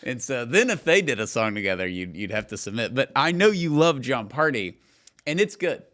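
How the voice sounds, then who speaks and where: A man's voice: mid-range voice, very animated, brisk, fairly bright tone. A middle-aged man co-hosting a podcast, telling stories and teasing in casual, emphatic, filler-heavy banter. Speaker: podcast co-host.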